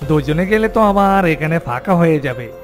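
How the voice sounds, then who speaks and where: A man's voice: on the high side, expressive, moderate pace, soft background music, close to an everyday voice. A man voicing a reluctant, argumentative demon guard in a fairy-tale cartoon, grumbling as he talks.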